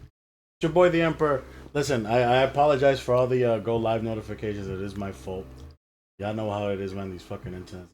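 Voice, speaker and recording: A man's voice: on the low side, steady tone, fairly quick, a little mellow, a little hiss or hum. A young man hosting a livestream interview, laid-back and slangy with lots of fillers. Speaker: streamer host.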